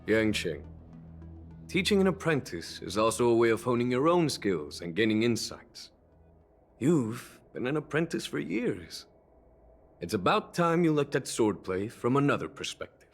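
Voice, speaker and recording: A man's voice: medium pitch, very animated, moderate pace, faint music behind. A man voicing a composed, kindly general and mentor in a game, with a courteous manner. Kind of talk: game cutscene voice acting.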